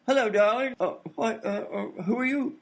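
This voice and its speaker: A man's voice: extremely high pitch, normal speed, very noisy. A man playing a fussy, absent-minded British doctor and inventor in a comedy radio play, blustering and full of hesitations. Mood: dithering, polite.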